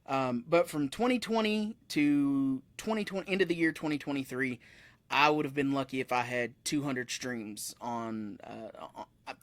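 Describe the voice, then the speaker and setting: A man's voice: mid-range voice, normal speed, no echo. A man in his thirties, a musician guest telling stories in a casual, rambling way with frequent self-corrections. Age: 30s. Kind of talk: casual interview chat. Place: room with metal posters behind him.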